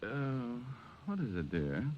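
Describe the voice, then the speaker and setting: A man playing a breezy, teasing, romantic private detective in old-time radio drama, with a playful, smooth-talking manner. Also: medium pitch, sing-song, takes it slowly, dull audio, slight background noise. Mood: tender. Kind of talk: 1940s-50s radio acting with crooned singing. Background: music.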